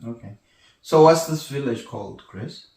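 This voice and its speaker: A man's voice: mid-range voice, expressive, moderate pace, darkish tone. Distant, echoey male interview host. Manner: hesitant, repeats words. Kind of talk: casual interview. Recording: echoey room, distant from mic.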